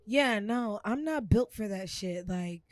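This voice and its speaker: A woman's voice: mid-range voice, expressive, measured pace, no echo. Woman, blunt, no-nonsense storyteller, mid voice, dry close mic. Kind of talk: casual chat.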